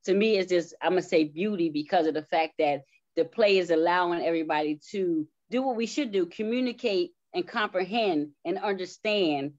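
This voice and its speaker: Female interviewee, lowish pitch, earnest and emphatic, slightly muffled recording. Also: moderate pace, a little mellow. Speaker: stage play cast member being interviewed. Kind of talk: unscripted reflective answer. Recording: dull, lo-fi sound.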